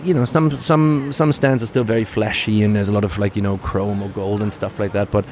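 A man's voice: lowish voice, steady tone, a little fast, a little mellow. A man casually talking through a motor show visit, hesitant and full of repeats. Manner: hesitant, lots of fillers and repeats. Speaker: car show reviewer. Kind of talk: casual walkaround chat. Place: busy motor show hall. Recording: noisy show floor.

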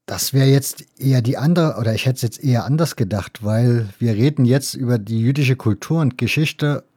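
A man's voice: mid-range voice, normal speed, warm tone, breathy edge, no echo, clean studio sound. A man hosting a podcast, asking questions in a casual conversational style. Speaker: podcast host, interviewer.

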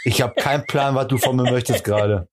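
A man's voice: mid-range voice, brisk. A man co-hosting a podcast, needling his partner with dry, teasing remarks in joking banter. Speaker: podcast co-host.

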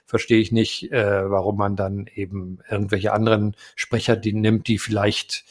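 A man, a voice actor, talking casually in an interview, hesitant with many pauses and fillers. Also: on the low side, flat delivery. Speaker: voice actor guest.

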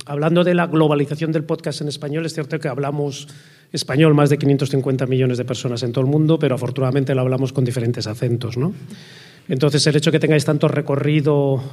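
A man's voice: medium pitch, mellow. Spanish-accented man asking from the audience. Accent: Peninsular Spanish. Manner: formal, articulate questioning. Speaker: audience member asking a question. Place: conference hall audience.

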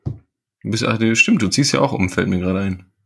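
A man's voice: lowish voice, moderate pace, vocal fry, slightly rough. A man co-hosting a podcast in casual, joking banter, often repeating words as he finds his phrasing. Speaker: podcast co-host.

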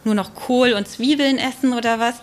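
A woman explaining things informally as an expert guest in an interview, with frequent hesitant pauses. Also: on the high side.

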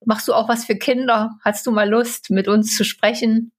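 A woman's voice: on the high side, steady tone, moderate pace. A woman from eastern Germany co-hosting a casual podcast interview, chatty and given to chuckling. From eastern Germany (Upper Lusatia). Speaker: podcast co-host.

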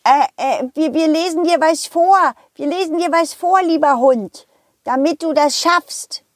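An excitable little bookworm from a children's audio play, acted out by a woman. The voice is high and unhurried, eager to help and quick to repeat itself in gratitude. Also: dry recording, studio quality. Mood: flustered, encouraging.

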